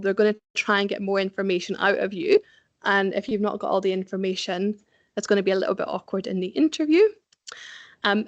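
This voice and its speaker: A middle-aged Scottish woman presents career tips to young professionals in a relaxed, conversational way, with frequent ums and occasional light laughs. Her voice sits at an ordinary pitch for a woman. The recording is dry and close, with little room sound. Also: no room sound. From Scotland. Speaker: presenter giving career advice.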